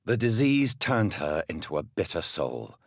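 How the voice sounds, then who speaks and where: A man playing a grave, courteous, troubled gentleman doctor turned vampire in a video game, speaking formally and earnestly with a husky voice. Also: low voice, very animated, unhurried, dry recording, clean studio sound, heavily acted. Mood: polite, probing. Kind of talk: dramatic video game dialogue.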